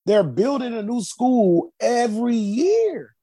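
A man's voice: extremely high pitch, big pitch swings, slow pace, crowd noise. A man co-hosting a casual chat show, teasing and swearing freely. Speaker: regular co-host. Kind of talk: casual banter.

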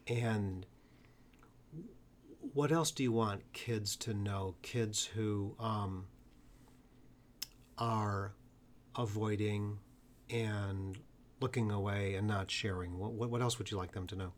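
A man's voice: lowish voice, fairly even intonation, slow pace, long pauses, slight background noise. A man hosting a podcast conversation, earnest, with an occasional stutter. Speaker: podcast host.

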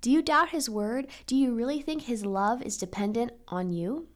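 A woman reads as a wise nanny in a children's story. The voice is fairly high, with firm, gentle and patient counsel. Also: normal speed, lightly acted. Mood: patient, admonishing. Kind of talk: storybook character reading.

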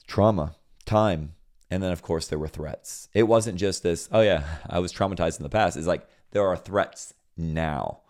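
Deep-voiced preacher building to loud peaks. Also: male voice, moderate pace. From Senegal. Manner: builds to loud emphatic peaks, repeats phrases. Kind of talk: sermon with scripture reading.

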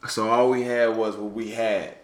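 A young man as a guest in casual chat, telling stories in a relaxed, slangy way. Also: on the low side, normal speed. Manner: relaxed slangy storytelling, many "you feel what I'm saying". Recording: echoey room with faint noise.

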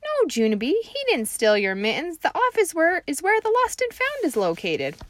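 A calm, gentle female teacher from a children's storybook, as a woman reading aloud gives her voice. Her voice is a touch lifted and lively, kind and reassuring. The sound is close and dry. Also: extremely high pitch, normal speed, no echo, pitched above the performer's own voice, exaggerated voice. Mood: amused.

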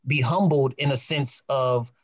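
A male podcast host turns from guest to guest, full of "you know" and "right?" as he prompts them. His voice sits at a middle pitch and is recorded close and dry. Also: measured pace, no echo. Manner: encouraging, peppered with fillers. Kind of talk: casual podcast chat.

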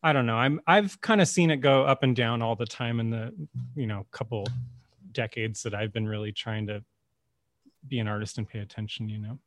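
A man in his forties, a curator, interviewing an artist in a relaxed, chatty way. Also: medium pitch, normal speed, no echo. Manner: relaxed, chatty, uses "kinda" and "you know". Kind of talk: casual conversational interview.

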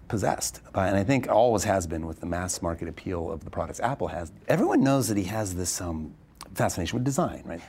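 A man from Arizona, a venture capitalist answering interview questions in a fast, animated way with quick restarts. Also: lowish voice, vocal fry, breathy edge. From Arizona, USA.

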